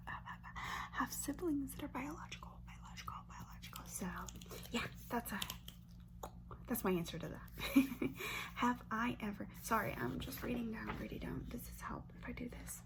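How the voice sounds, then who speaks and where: A woman in her twenties from Brazil, whispering an ASMR question-and-answer chat close to the mic and softly repeating words over and over as triggers. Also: medium pitch. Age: 20s. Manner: chatty, filler-heavy, with light laughs. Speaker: ASMR creator. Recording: noisy hum under voice.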